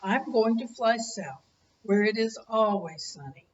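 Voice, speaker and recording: Gentle butterfly king in a kids' book, grandma reading him, calm and kind, distant muffled mic. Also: fairly high pitch, a little slow, slight background noise. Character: gentle noble male monarch butterfly. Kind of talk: storybook read-aloud.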